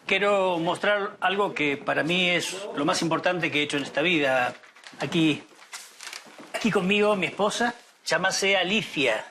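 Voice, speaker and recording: A man's voice: high-pitched, moderate pace, pushed and bright. A man over fifty, introducing himself and his family casually in an interview. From born in Galicia, raised in Argentina. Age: 50s or older. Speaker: emigrant interviewee, doctor.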